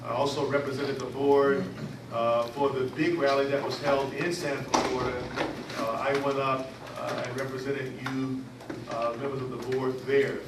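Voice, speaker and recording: A man chairs a community board meeting and reads out his report, halting often with fillers and restarts. He sounds far from the mic in an echoey room, with steady background noise. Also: medium pitch. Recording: echoey, distant, noisy room recording.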